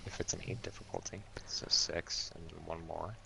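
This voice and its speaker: Male game player, deep and heavily creaky, casual table talk, faint room noise behind. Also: little pitch movement, normal speed, pushed and bright.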